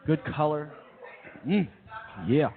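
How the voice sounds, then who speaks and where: A man with a fairly high voice banters casually as a radio co-host. The sound is narrow and tinny, like a phone. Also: slow. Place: brewery tasting room, live broadcast. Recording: thin, phone-like radio audio.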